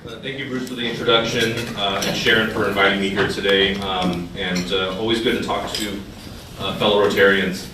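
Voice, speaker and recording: A man's voice: lowish voice, fairly even intonation, fairly quick, noisy background. A man in his thirties, raised in California's Central Valley, whose first language was Thai, giving a hesitant talk to a club with many fillers and restarts. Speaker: guest speaker. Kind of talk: informal speech to a club. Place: large dining hall. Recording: echoey hall, mic far off.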